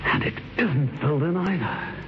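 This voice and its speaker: A man's voice: a little high, moderate pace, heavy background noise, natural voice. An actor playing a suspicious, peevish husband of forty, muttering his thoughts in old radio drama style. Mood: intrigued. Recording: dull vintage radio recording, loud music.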